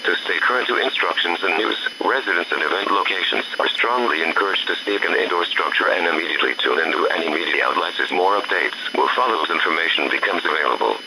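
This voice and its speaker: A low-voiced man reads an official emergency announcement. He sounds formal and synthetic, with no personal touch, over a clearly noisy radio-like broadcast. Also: fast, few pauses.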